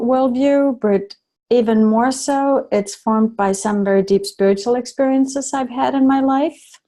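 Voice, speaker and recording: A woman from Denmark introducing herself on a group video call, calm and measured. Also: fairly high pitch. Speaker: course participant.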